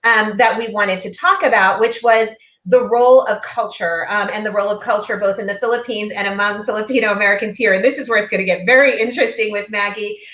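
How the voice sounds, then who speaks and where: A woman's voice: mid-range voice, fairly even intonation, quick pace, a bit bright, reverberant. A woman hosting a live panel discussion, asking questions casually with frequent "um"s. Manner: casual, with filler "um"s. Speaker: host.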